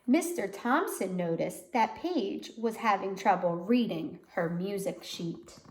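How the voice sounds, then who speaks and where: Woman doing a kids read-aloud, teachery, takes her time, really expressive pitch, echoey room. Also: a little slow, slight background noise. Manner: explains words and asks listeners questions. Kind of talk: children's storybook read-aloud. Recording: roomy, echoey sound.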